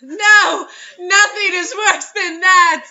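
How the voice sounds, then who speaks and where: A woman's voice: very high voice, steady tone, slow, bright, forceful tone. A young woman making casual, sarcastic, joking interjections as a co-host. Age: young adult. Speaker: co-host commenting on a reading. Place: small indoor room. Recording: dull lo-fi webcam sound.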